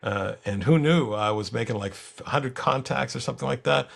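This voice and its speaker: A man's voice: medium pitch, fairly quick, creaky, breathy edge. A man speaking as a podcast guest in casual, laid-back and informal chat. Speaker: guest, software developer.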